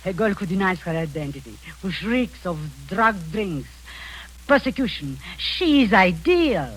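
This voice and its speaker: A ruthless older spy hiding behind a doting aunt's manner, in the style of a 1940s radio drama. Her pitch swoops widely as she coos endearments and then turns icy and commanding. A faint hiss sits under the voice. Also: sing-song, measured pace, fairly bright tone. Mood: coldly scheming. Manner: sugary and condescending, turning cold and commanding. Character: scheming older female spy, falsely sweet, ruthless. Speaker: villainous spy posing as an aunt.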